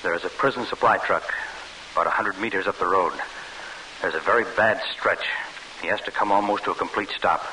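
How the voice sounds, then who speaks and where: An actor in an old-time radio drama playing a wary helper in a wartime escape, measured and serious, on a noisy vintage recording. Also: male voice, low-pitched, bright, forceful tone. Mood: guarded.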